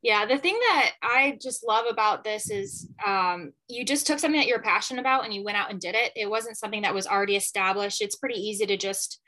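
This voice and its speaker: Young woman host, bright voice, chatty and upbeat, says like and you know a lot. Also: mid-range voice, fast, few pauses, poor audio. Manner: enthusiastic, chatty, many fillers. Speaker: podcast host, former athlete. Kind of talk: casual interview conversation.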